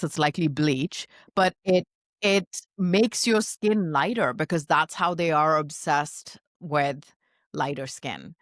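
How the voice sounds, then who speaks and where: A woman from India telling her story casually as a podcast guest, in a chatty, animated manner. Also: low voice, dry recording, clean studio sound. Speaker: podcast guest, consultancy founder.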